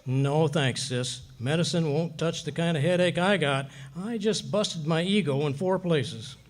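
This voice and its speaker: An actor playing a blustering, bumbling but soft-hearted husband in folksy, slangy 1940s radio comedy style. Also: male voice, fairly high pitch, measured pace, natural voice. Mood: sheepish, kindly.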